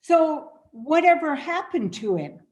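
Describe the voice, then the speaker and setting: A woman's voice: high voice, sing-song, unhurried, a little mellow, dull audio. A woman reading a curious, earnest woman traveler in a radio play.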